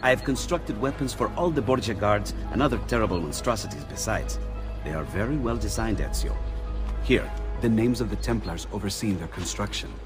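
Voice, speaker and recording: An actor playing a friendly, eager male inventor, earnest and expressive in a video game drama. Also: fairly low pitch, brisk, lightly acted. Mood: apologetic, earnest. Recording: loud music over the voice.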